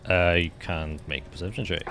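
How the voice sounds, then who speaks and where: A man improvising narration as game master of a tabletop fantasy game, often pausing to search for his words. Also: low-pitched, pushed and bright, soft background music.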